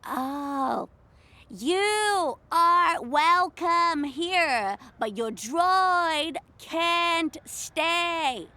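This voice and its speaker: A woman playing a haughty, easily outraged alien in a comic sci-fi audio play, with theatrical, drawn-out delivery. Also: very high voice, lively intonation, sharp tone, no room sound, exaggerated voice. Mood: haughty, suspicious.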